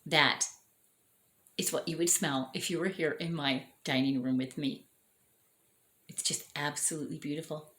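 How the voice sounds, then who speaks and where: A woman's voice: sits low, steady tone, moderate pace, pauses often, a bit bright. A woman showing off her orchids in a casual vlog, chatty and enthusiastic and often correcting herself, with her voice starting to go. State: losing her voice. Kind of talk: casual show-and-tell vlog. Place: dining room at home. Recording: echoey indoor room.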